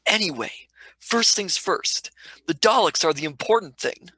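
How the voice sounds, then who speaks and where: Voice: medium pitch, expressive, unhurried, sharp tone, breathy edge, no room sound, full character voice, poor audio. An actor playing a down-to-earth young male companion in a scripted radio play, blunt and colloquial. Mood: matter-of-fact.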